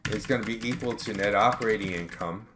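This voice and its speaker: A man working step by step through an accounting tutorial as an instructor, in a measured way, reasoning aloud as he calculates. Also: lowish voice. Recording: background noise with keyboard typing clicks.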